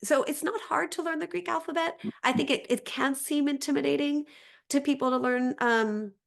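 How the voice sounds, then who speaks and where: A woman's voice: sits high, fairly even intonation, normal speed, creaky. A woman speaking as a language teacher and interview guest, explaining conversationally and often circling back over her phrasing.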